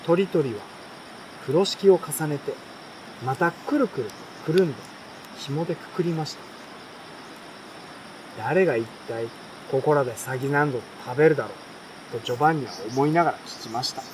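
Higher-voiced man reading a story outdoors. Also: moderate pace, pauses often. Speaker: reader. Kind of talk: relaxed storybook read-aloud. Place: campsite outdoors by a fire and stream. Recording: outdoor night crickets and campfire noise. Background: insects, crackling fire, stream.

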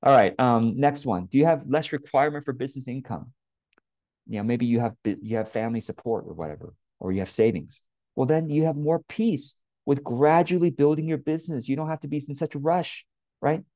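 A man's voice: mid-range voice, brisk, mellow, no echo, clean studio sound. A man in his late forties with a Californian accent, giving casual, conversational advice as a coach and talking directly to the listener with informal emphasis. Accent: Californian American. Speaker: business coach. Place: small indoor room at home. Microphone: wired earphone headset on a webcam.

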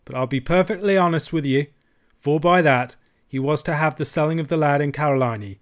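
Man playing a whining old Scottish miser, high-pitched. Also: a little fast, clear, resonant tone, natural voice. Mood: grudging confession. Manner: wailing, snarling protests. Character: miserly old Scottish uncle, whining, cowardly, self-righteous. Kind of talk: audiobook character voice.

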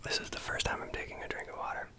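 An ASMR host whispering close to the mic in a soft, meandering chat full of asides. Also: racing. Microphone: close microphone.

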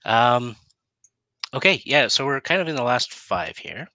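A man's voice: mid-range voice, very animated, measured pace, vocal fry, pushed and bright, no echo. A middle-aged man hosting a casual live-stream interview in a chatty, hesitant way, speaking on a headset mic. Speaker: talk show host. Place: plain room, indoors.

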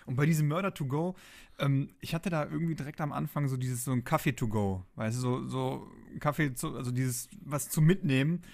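Man, thirties, average pitch, casual podcast talk, informal. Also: brisk, vocal fry, a little mellow. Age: 30s. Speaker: podcast host.

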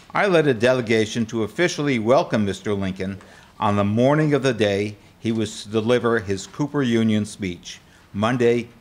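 An older man performs a formal nineteenth-century delegate in a staged radio play. He recounts events in a measured, medium-pitched voice, reading from a script into a stage microphone. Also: close to an everyday voice. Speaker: amateur actor reading a historical part. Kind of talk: scripted first-person narration at a staged reading. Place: auditorium stage before an audience. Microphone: microphone on a stand.

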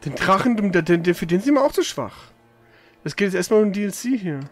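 A man's voice: high-pitched, lively intonation, brisk. A man giving casual, blunt and irritable commentary as he plays a video game. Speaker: let's player. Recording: game music behind. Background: video game music.

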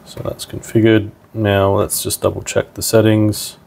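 A man's voice: lowish voice, completely flat delivery, moderate pace, gravelly, darkish tone, a little hiss or hum. A man narrating a hands-on product tutorial in an informal, step-by-step way. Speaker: product demonstrator.

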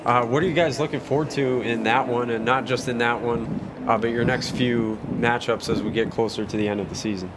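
A man's voice: medium pitch, steady tone, brisk, noisy background. A man who hosts a college sports broadcast, interviewing a coach, reading sponsors and wrapping up the game in an upbeat style.

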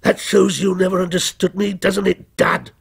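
A man's voice: high-pitched, flat delivery, moderate pace, gravelly, husky, voice pushed up, full character voice. A man voicing a vengeful, menacing criminal posing as a policeman, taunting and bitter in a dramatic story. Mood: slow, taunting. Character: menacing, vengeful male fictional criminal come to life as a policeman.

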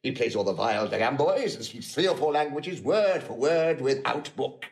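Guy acting boozy blustering middle-aged knight, shakespeare audio play, gravelly and really animated. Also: medium pitch, moderate pace, lightly acted. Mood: blustering, jovial.

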